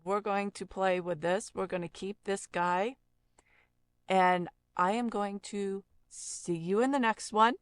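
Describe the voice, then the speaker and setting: Slow, smooth-voiced woman tutorial host. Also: mid-range voice, fairly even intonation, no echo, studio quality. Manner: unhurried, conversational step-by-step explaining. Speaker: audio effects tutorial host, voice-over artist. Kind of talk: informal screen-recorded software tutorial.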